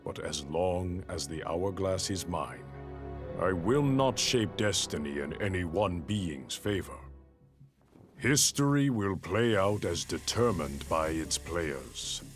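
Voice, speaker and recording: An actor playing a warrior emperor turned keeper of time, speaking in grave, measured tones. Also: male voice, deep voice, lively intonation, soft background music. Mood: solemn. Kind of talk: game cutscene narration.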